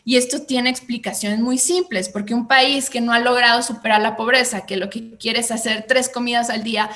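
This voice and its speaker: A woman's voice: fairly high pitch, steady tone, normal speed, bright, forceful tone. A woman being interviewed, explaining ideas at length with examples and frequent "eh" fillers. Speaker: guest on economics show. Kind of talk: conversational explanation.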